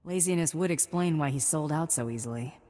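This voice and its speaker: An actress playing a tough, curt bounty hunter in a video game, wry and no-nonsense. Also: female voice, deep voice, a little fast, dark tone, long reverb tail, lightly acted. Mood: cool, businesslike.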